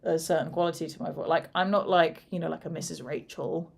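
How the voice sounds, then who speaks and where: A woman with a fairly deep voice, co-hosting a casual chat in a steady, fairly even manner. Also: quick pace, creaky, breathy edge. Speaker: co-host.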